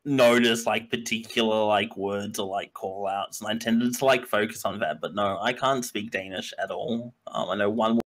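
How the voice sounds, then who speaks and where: Hesitant young man, low voice. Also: steady tone, normal speed, few pauses, a bit bright. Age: 20s. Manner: hesitant, many fillers like "um" and "like". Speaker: esports coach. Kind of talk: casual interview answers.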